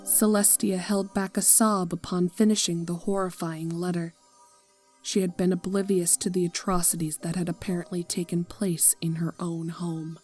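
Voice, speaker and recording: Woman narrator, low-pitched voice, somber reading, hushed delivery, faint guitar behind. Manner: somber, slow, dropping to near-whispers. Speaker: fanfic reader. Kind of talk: scripted narration of a tragic story. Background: soft guitar music.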